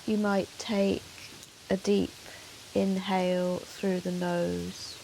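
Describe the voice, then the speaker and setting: A woman yoga teacher leading a guided meditation in a soothing, measured, gentle manner with long pauses. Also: medium pitch, little pitch movement, vocal fry, low-quality recording. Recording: soft hiss of rain noise. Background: soft rain sound.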